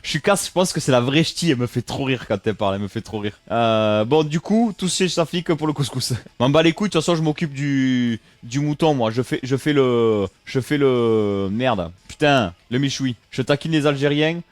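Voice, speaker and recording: A man with a northern French accent, streaming live and reacting to his chat in an animated, teasing way, swearing freely. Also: medium pitch, normal speed, hardly any pauses, dry recording. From northern France. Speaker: Twitch streamer.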